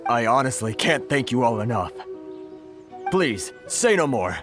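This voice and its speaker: An anxious, grateful older merchant; expressive, game music under. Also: male voice, mid-range voice, a little slow. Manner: anxious, stammering. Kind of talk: video game voice acting.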